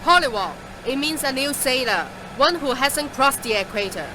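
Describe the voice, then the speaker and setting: A woman's voice: high-pitched, lively intonation, normal speed, background noise. A woman playing a seasoned, superstitious transport driver in an audio drama, matter-of-fact and assured. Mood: wry, assured. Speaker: voice actor in a fiction podcast.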